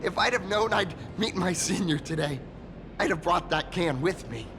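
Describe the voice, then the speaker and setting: A man voicing an excitable, starstruck young masked hero in an anime-style video game, earnest and humble, stammering when flustered. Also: sits high, moderate pace, background noise. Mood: gushing, flustered.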